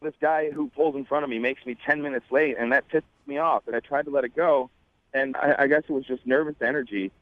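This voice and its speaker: Male radio caller, quick pace, rambling and agitated, casual, slightly dull phone sound. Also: medium pitch, steady tone, no room sound. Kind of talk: casual conversational storytelling. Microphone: telephone.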